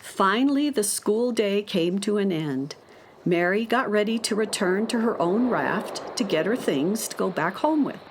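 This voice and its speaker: A woman's voice: mid-range voice, lively intonation, unhurried. A woman narrating a scripted children's story as the narrator of an audio drama.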